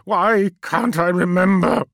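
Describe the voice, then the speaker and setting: A man's voice: on the high side, sing-song, moderate pace, creaky, fairly bright tone, dry recording, full character voice. A towering male demigod warlord, imperious and broken by anguish. His raised voice swings widely as he declaims, recorded dry and close. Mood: anguished confusion.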